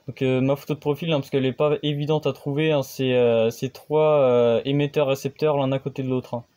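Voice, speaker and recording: A man's voice: medium pitch, fairly even intonation, a little fast, mellow. A man hosting his own amateur radio channel, explaining things casually and hesitantly, with many fillers and restarts.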